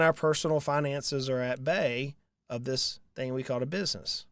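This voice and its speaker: A man's voice: a little high, fairly bright tone, no room sound, studio quality. A man, hosting a finance show and talking straight to camera. He speaks earnestly and with emphasis, often repeating a phrase to drive it home. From Southern United States. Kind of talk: solo talk to camera. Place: small room with dark curtains. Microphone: large studio mic in front, headphones.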